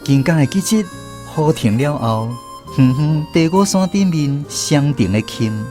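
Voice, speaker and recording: Expressive grown grandson, reminiscing. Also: male voice, fairly high pitch, measured pace, soft background music. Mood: tender nostalgic. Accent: Taiwanese. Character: grown grandson fondly recalling his grandfather. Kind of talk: radio drama narration.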